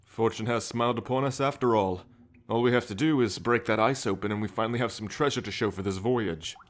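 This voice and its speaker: An actor playing a greedy, impatient, sour treasure-hunting sailor of the 1790s in an audio roleplay, brusque and exasperated. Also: male voice, on the low side, brisk, lightly acted.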